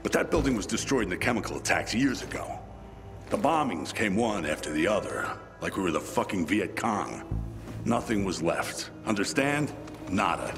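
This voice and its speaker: An actor playing a gruff, sarcastic, battle-worn lieutenant in a video game, profane and commanding. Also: male voice, deep voice, sing-song, moderate pace, in a large hall, over music. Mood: resigned, commanding. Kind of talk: video game dramatic acting.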